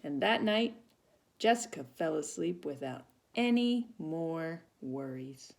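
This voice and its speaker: Woman reading a kids book, kinda low and creaky, really up and down intonation. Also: lively intonation, takes it slowly, slight background noise. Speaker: volunteer storybook reader. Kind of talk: animated children's storybook narration with asides.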